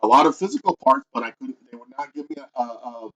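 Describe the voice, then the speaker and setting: Man, Rhode Island accent, animated and jokey player, dull lo-fi sound. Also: medium pitch, fast talker. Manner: lively, joking, often quiet and trailing off. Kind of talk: casual tabletop game chat. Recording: dull lo-fi stream audio.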